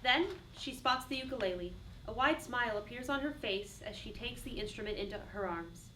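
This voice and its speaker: Fairly high-voiced woman narrator, distant. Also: expressive, fairly quick, sharp tone. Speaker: narrator reading stage directions. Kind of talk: scripted narration. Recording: distant mic, clearly noisy room.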